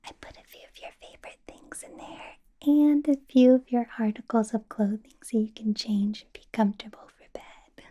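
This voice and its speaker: A woman playing a doting, possessive female vampire boss in an intimate ASMR roleplay, coaxing and flirting in a breathy whisper. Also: fairly high pitch, moderate pace, dark tone, dull audio, lightly acted. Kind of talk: ASMR roleplay, personal attention.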